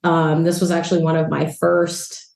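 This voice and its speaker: A woman from El Salvador with a notably low voice chats easily in an interview, filling her sentences with "um" and "you know". She sounds like she is in a roomy apartment with echo around her. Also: moderate pace, gravelly. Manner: relaxed, chatty, frequent "um" and "you know". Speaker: real estate agent and investor. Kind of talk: casual interview chat. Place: a rental apartment.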